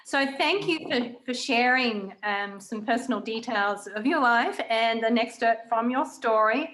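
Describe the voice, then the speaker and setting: Interviewer: woman, fairly high voice, reflective conversational delivery, measured with mid-phrase pauses. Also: a bit bright. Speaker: interviewer, host.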